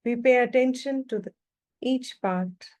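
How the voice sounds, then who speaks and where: A woman with a fairly high voice guides a meditation at a very slow pace. She gives calm, unhurried instructions in short phrases, leaving long pauses between them.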